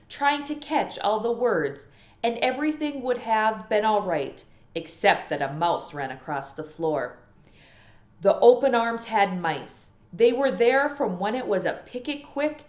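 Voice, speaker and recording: Higher-voiced woman reading in an echoey room. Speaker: storybook reader. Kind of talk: read-aloud narration of a children's novel.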